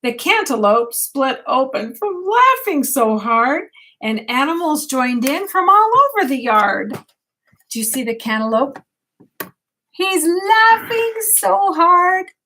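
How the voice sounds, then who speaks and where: An older woman, a grandmother, reading a picture book aloud at bedtime, expressively, with gentle questions to a child. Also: high-pitched, sing-song, slow pace, pauses often.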